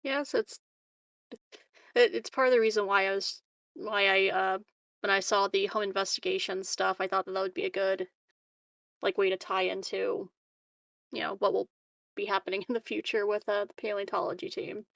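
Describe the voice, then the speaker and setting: A woman's voice: medium pitch, little pitch movement, normal speed, creaky, pauses often, fairly bright tone, no echo. A woman chatting casually as a player in a tabletop roleplaying game, partly in character.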